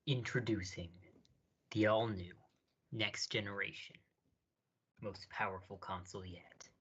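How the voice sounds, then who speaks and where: A man's voice: on the low side, fairly even intonation, a little slow, a bit bright, slightly rough, dull audio. A man playing a salesy, emphatic commercial announcer in a parody radio advertisement. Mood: hyping. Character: hyped-up commercial announcer selling a game console.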